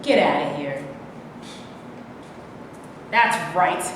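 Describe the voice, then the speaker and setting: Reverberant noisy hall, woman acting as hulking garbage hauler, blunt, taunting, loud, stage play. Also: sits low, animated, very slow, distant mic, exaggerated voice. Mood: belligerent, taunting. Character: large burly belligerent garbage worker, proud and taunting. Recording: very echoey hall, clear noise.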